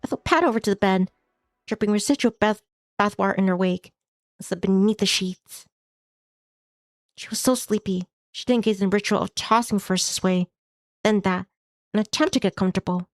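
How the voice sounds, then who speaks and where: A woman's voice: medium pitch, moderate pace, long pauses, no echo. A woman streamer reading a visual novel aloud as story narration, now and then stumbling over a word and rereading it. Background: music behind the voice.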